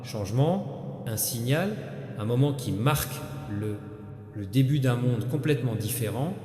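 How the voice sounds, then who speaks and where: A man narrating a scripted inspirational spiritual message straight to the listener, solemn and halting, in short broken phrases. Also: mid-range voice, dark tone. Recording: echoey hall with long reverb.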